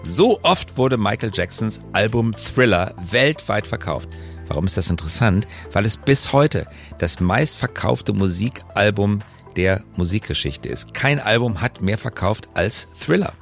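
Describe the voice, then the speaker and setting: Low-voiced middle-aged man co-hosting a podcast. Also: lively intonation, a little slow, creaky, soft background music. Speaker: podcast co-host. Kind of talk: casual conversational podcast talk.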